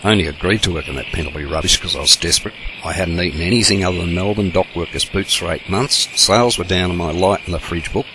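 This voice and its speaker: A bitter, grumbling has-been, played by a man in a low voice. Clear background noise runs behind him. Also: fast, few pauses, lightly acted.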